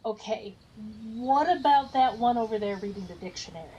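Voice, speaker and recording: A woman acts a practical gnome adventurer in an audio comedy. Her voice is fairly high and very lively, wry and brisk over music. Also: highs cut off, lightly acted.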